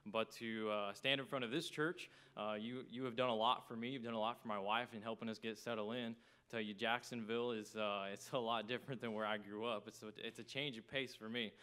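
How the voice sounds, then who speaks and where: Clear-voiced Texan man preaching. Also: fairly low pitch, fairly even intonation, fairly quick, pushed and bright. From small town in Texas, United States. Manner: folksy, conversational. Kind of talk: evening church sermon.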